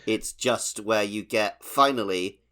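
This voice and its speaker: A man's voice: on the low side, slow, a bit bright. A man co-hosting a casual video game podcast, explaining things in a conversational way. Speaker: podcast co-host.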